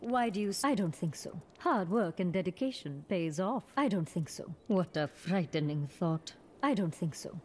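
A woman's voice: on the low side, expressive, moderate pace, hardly any pauses, darkish tone, slight background noise, close to an everyday voice. An actress playing a poised, haughty, exacting woman in a video game, speaking in precise, condescending one-liners. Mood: cool, disdainful. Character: poised, haughty, exacting female architect hero.

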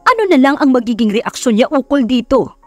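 A woman's voice: high-pitched, sing-song, a little fast, faint music behind, voice pushed up. A woman voicing a stern, exasperated father in an audio romance story, serious and scolding.

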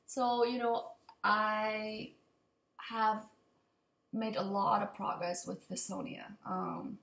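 A young woman talks casually to her computer at home, feeling her way through an unplanned update. Her voice is a little raspy from allergies and often drops into creak. She speaks slowly and hesitantly, and the room adds a clear echo. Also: mid-range voice, fairly even intonation, a bit bright. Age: young adult. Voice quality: slightly raspy. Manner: hesitant, halting, rambling. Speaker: vlogger. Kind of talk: unscripted casual update to camera. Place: indoor open kitchen and living room. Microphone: computer mic at desk distance. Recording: echoey room, computer mic.

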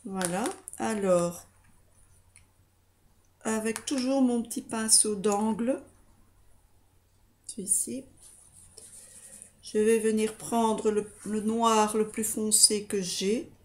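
A woman in her forties with a slightly stuffy nose, softly and hesitantly talking through a makeup tutorial into a webcam in a small room. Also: medium pitch, fairly even intonation, slow pace, long pauses. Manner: soft, hesitant, talks while applying makeup. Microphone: webcam close up.

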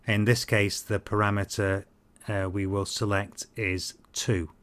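A man in his fifties explaining software in an informal tutorial, hesitant and halting, with frequent ums. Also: on the low side, little pitch movement. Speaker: presenter of a flight simulator tutorial series.

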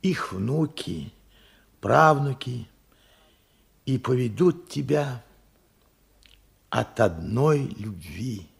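A man's voice: mid-range voice, lively intonation, vocal fry, husky, exaggerated voice. An actor playing an old grandfather and war veteran in a Soviet radio play, tender, fervent and dramatic. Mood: earnest, subdued. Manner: dramatic, fervent, halting delivery. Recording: vintage radio play with music underneath.

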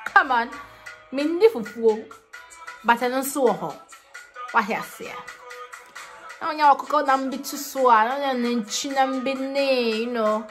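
A woman's voice: on the high side, fairly bright tone. A young woman from Ghana vlogging to camera in a small room, chatting playfully and laughing often. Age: young adult. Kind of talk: casual chat to camera.

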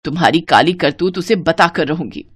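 A woman's voice: low voice, animated, normal speed, husky, pitched below the performer's own voice. A woman voicing a distressed, scolding elderly mother-in-law in a cartoon, wronged and pleading. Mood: disgusted, defiant.

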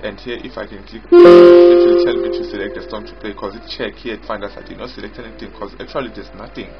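A man giving an unscripted software walkthrough as its developer, explaining haltingly and repetitively in short phrases. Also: fairly low pitch, animated. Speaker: software developer demonstrating own app. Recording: dull lo-fi audio with loud music. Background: loud music.

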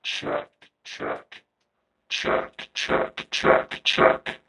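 A man demonstrating a plugin as a monotone robotic synthesizer voice through a vocoder filter. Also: extremely slow, low-quality recording. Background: synthesizer tones carrying the voice.

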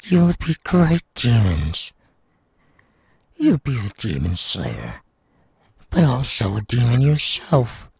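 A man playing a menacing, sadistic demon villain in an anime audio roleplay, taunting in a raspy, gravelly voice. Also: medium pitch, huge pitch swings, a little mellow, no room sound, studio quality, exaggerated voice. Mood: tempting, smug. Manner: slow, taunting, drawn-out. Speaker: voice actor.

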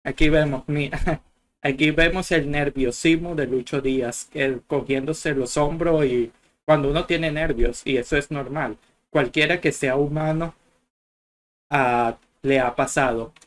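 A man's voice: a little high, steady tone, measured pace. Young man chatting about football clips. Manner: chatty, rambling with restarts. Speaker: football channel host. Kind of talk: casual video commentary. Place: small room at a desk.

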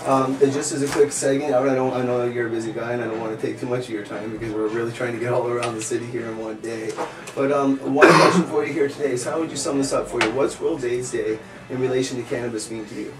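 A man's voice: medium pitch, steady tone, fast. A man in his thirties hosting a casual vlog, rambling with many fillers and restarts. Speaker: video host. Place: shop interior and home office. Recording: echoey room, distant mic, loud background noise.

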